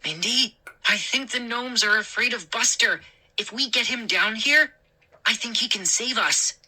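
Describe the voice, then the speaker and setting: A woman's voice: fairly high pitch, unhurried, sharp tone, voice pushed up, heavily acted, low-quality recording. A woman voicing an anxious young boy in a children's story. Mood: urgent. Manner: urgent, pleading.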